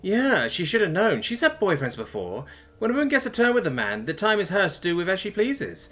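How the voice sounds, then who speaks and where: Man voicing a high, tearful, bitter drunk woman soldier. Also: wildly swooping pitch, fairly quick, a bit bright, full character voice. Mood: self-pitying, pleading. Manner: self-pitying, blurting, crude. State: sobbing, drunk.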